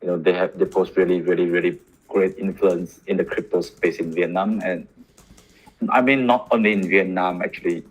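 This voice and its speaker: A man hosting a livestream question session, reading questions from the chat in a relaxed, chatty way. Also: low-pitched, moderate pace, lo-fi sound. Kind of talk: livestream AMA.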